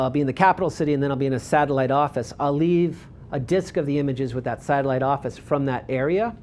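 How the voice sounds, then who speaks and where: A man giving a talk about his photography work, speaking in a relaxed, rambling way with frequent ums and restarts. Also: mid-range voice, a little hiss or hum. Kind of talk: presentation with audience questions.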